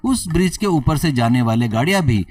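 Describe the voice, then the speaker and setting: A man's voice: fairly high pitch, expressive, brisk, darkish tone, distant mic, soft background music. A man narrating a scripted moral story as a storyteller.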